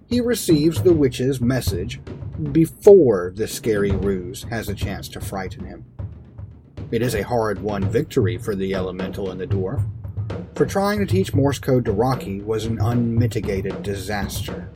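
A man reads a fantasy story as dramatic narration. His voice is fairly low, and drum-heavy music plays clearly behind it. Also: expressive, measured pace. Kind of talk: dramatic present-tense scripted narration. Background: music with drums and timpani.

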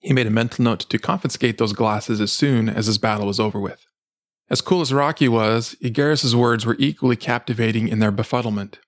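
A man's voice: medium pitch, fairly quick. A man reading a fantasy story aloud as dramatic scripted narration. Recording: music behind the voice. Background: dramatic background music.

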